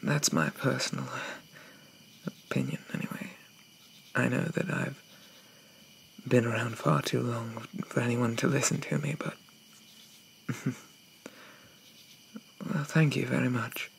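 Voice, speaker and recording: A man playing a shy, gentle, polite Victorian zombie in a soft-spoken ASMR roleplay, stammering and hesitant with old-fashioned courtesy. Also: medium pitch, fairly even intonation, slow pace, gravelly, long pauses, a little hiss or hum, rough recording. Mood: shy, wistful.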